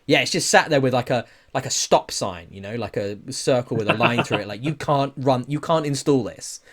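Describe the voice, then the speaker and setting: A man's voice: medium pitch, a little fast, a bit bright. A British man with a mid-range voice works through news as a podcast host. He talks informally, like someone chatting with friends about games. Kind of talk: casual chat about gaming news.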